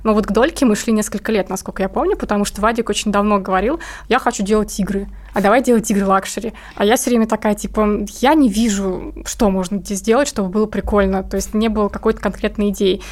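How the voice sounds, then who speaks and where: A woman's voice: medium pitch, steady tone, fast talker, few pauses, darkish tone. Casual, candid woman with mid-range voice. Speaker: interview guest, artist. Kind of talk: casual interview chat.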